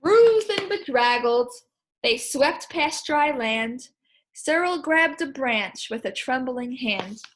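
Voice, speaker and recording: A woman teacher reading a picture book aloud to children, expressively, pausing to ask questions and explain things simply. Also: high voice, lively intonation, low-quality recording. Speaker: primary school teacher.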